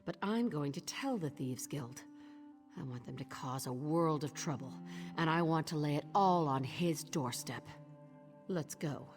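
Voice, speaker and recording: A woman's voice: deep voice, animated, measured pace. A woman playing a grieving, betrayed thief in a video game, speaking in a terse, grave, resolute way. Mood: grim resolve. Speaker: game character. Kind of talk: dramatic game dialogue. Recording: long hall reverb, music underneath.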